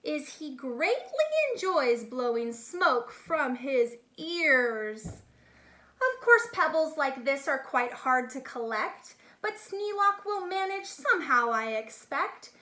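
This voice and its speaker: An eager storybook boy acted out by a woman barks his circus attractions like a proud showman. The delivery is bright, singsong and unhurried, in an echoey room. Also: high voice, big pitch swings, exaggerated voice. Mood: proud, showy. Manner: grandiose showman's barking, singsong rhymes. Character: young boy dreamer, boastful eager circus ringmaster. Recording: echoey, reverberant room sound.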